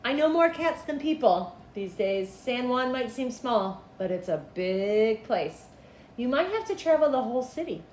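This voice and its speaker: A woman voicing a kindly, worldly old woman from a storybook, musing and unhurried. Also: a little high, sing-song, darkish tone, voice pushed up. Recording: echoey room with background noise.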